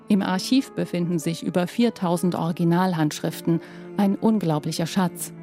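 A woman's voice: on the low side, moderate pace, dark tone, faint music behind. A woman reporter reading scripted narration for a news feature.

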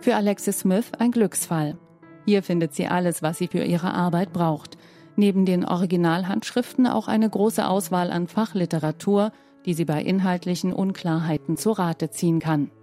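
A woman narrating a broadcast news feature in a measured, scripted way. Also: fairly low pitch, dark tone, soft background music. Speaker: news reporter.

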